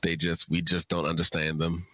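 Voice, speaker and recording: Low-voiced man chatting casually, dry sound. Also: monotone, moderate pace, a bit bright, no room sound. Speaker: writer, guest. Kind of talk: casual livestream chat.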